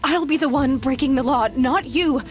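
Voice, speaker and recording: An actress playing a stubborn, determined young outcast hunter in a video game, speaking directly and earnestly. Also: female voice, sits high, normal speed, lightly acted. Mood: pleading, defiant. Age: young adult. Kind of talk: naturalistic video game acting. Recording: music behind the voice.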